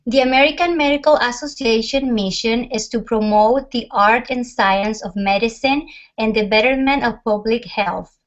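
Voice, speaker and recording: A woman's voice: on the high side, normal speed. A woman from Chile presenting for a student group over a video call, hesitant and restarting often. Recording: lo-fi online call audio.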